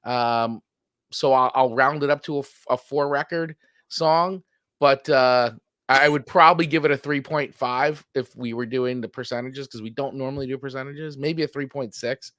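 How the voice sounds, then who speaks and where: A man with a middle-pitched voice chats casually as co-host of a music review show. He often stumbles and repeats words, then laughs at himself. The sound is close and dry, with no room around it. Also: fairly even intonation, moderate pace, a bit bright, dry recording. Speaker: podcast co-host reviewing metal songs. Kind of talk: casual chat.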